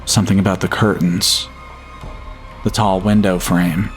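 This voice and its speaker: Low-voiced man narrating horror over music. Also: flat delivery, a little slow. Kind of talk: scripted first-person horror story narration. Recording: music bed behind the voice. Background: atmospheric music.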